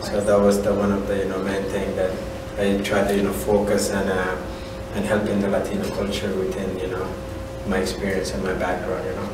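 A man in his thirties from Honduras with a Central American Spanish accent, a native Spanish speaker, giving halting, casual interview answers as a soccer coach, often saying "you know". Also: low-pitched, monotone. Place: indoor room at a college. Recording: echoey room, distant mic, background noise.

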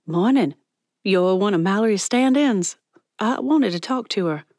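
A woman's voice: mid-range voice, lively intonation, a little slow, darkish tone, dry recording, pitched above the performer's own voice. A woman acts out a sweet older lady from a novel. She is curious and tender, with a folksy, genteel way of talking, recorded dry and close.

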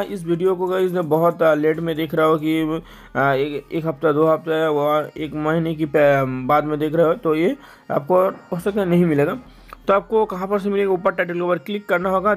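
A man hosting a casual step-by-step phone tutorial, addressing viewers directly and repeating his instructions. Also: on the high side. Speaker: tech tutorial host. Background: background music.

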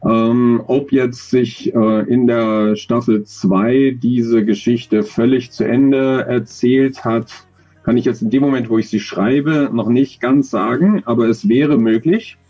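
Mellow, husky middle-aged man. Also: mid-range voice, steady tone, slow, highs cut off, soft background music. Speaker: interview guest, audio drama author. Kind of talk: thoughtful conversational interview answers. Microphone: video call, close to webcam.

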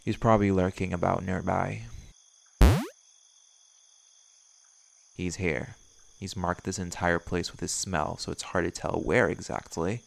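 A man's voice: low-pitched, fairly even intonation, normal speed, gravelly. A man voicing a brash, menacing werewolf in an audio roleplay, speaking in a blunt, curt, sardonic way. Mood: wary, blunt. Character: brash, menacing male werewolf hunting his quarry. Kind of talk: scripted audio roleplay acting.

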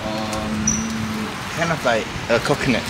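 A man's voice: lowish voice, slow pace, heavy background noise. A man, casually explaining snacks at a roadside stall. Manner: explaining, easygoing. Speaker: local guide showing snacks.

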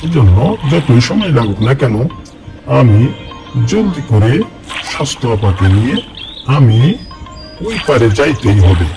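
A man voicing an earnest young village boy, narrating a cartoon in the first person with a lively, repetitive telling. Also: mid-range voice, unhurried, long pauses. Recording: cartoon voice-over with loud music. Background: background music.

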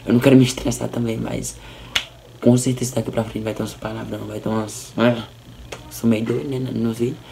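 A young man, a little hoarse, chatting playfully to camera in a small room. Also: mid-range voice, flat delivery, fairly quick, background noise. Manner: chatty, playful, teasing asides. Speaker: vlogger. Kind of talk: casual commentary on soap opera clips. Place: small indoor room by a curtain.

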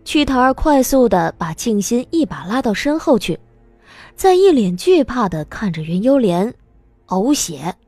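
Woman reading an audiobook, expressive and lively, dry close sound with soft music behind. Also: fairly high pitch, unhurried, dry recording. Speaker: audiobook narrator. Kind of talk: expressive scripted story narration.

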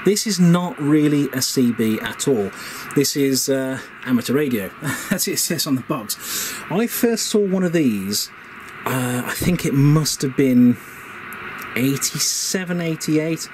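A man's voice: medium pitch, animated, unhurried, a little mellow. A middle-aged man, casually and enthusiastically showing gear on camera in an unscripted, chatty way. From England. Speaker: vlog host showing vintage radio gear. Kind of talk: casual unscripted product show-and-tell. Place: indoor workshop shed. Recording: clearly noisy room background.

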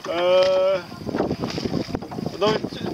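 A man's voice: sits high, steady tone, extremely slow, highs cut off. A man giving casual, encouraging sailing coaching outdoors on a boat, often repeating his instructions. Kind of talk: casual coaching and commentary on the water. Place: outdoors on a boat at sea near shore. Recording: loud wind and boat noise, distant mic.

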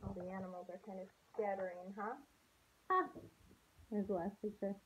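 A woman's voice: mid-range voice, little pitch movement, moderate pace, a bit bright, slight background noise, poor audio. A young adult woman reading a picture book aloud to children, expressively, with simple questions for her listeners, recorded indoors at home. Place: small room at home, indoors.

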